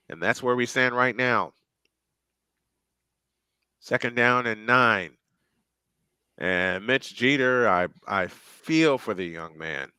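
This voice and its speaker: A man's voice: medium pitch, sharp tone, clean tone, dry recording. A man calling a football game play by play on a fan livestream, chatty and folksy. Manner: folksy, chatty storyteller between plays. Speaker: play-by-play announcer.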